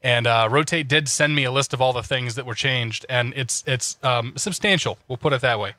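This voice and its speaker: Bright-voiced chatty sim streamer, congested. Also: male voice, medium pitch, moderate pace, no echo. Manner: chatty, frequent restarts, laughs often. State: slightly congested. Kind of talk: casual live-stream chat.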